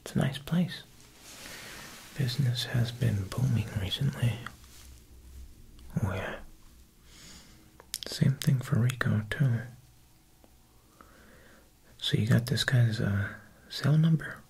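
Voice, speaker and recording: A young man playing a smooth, quietly menacing Italian mob boss tailor in an ASMR roleplay, speaking softly and unhurriedly at a close mic, with insinuating pauses. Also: mid-range voice, a little hiss or hum. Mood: probing, sly. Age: young adult. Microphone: close ASMR microphone.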